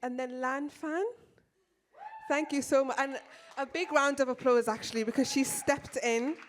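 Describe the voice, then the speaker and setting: A woman's voice: sits high, fairly even intonation, normal speed, a little hiss or hum. A woman hosting an event from the stage in an informal, somewhat flustered and apologetic way. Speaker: event host introducing panels.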